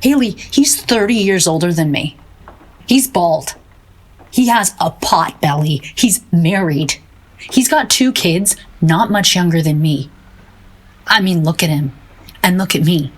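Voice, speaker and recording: Husky, despairing woman painter. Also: on the low side, animated, a little slow. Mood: exasperated, guilty. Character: self-doubting, despairing woman painter, melancholy and earnest. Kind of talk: audio drama acting.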